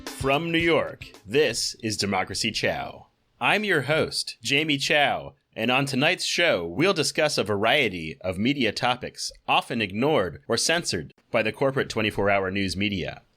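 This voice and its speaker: A man playing a serious, formal news program host reading a measured scripted introduction. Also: medium pitch, big pitch swings, sharp tone, faint music behind.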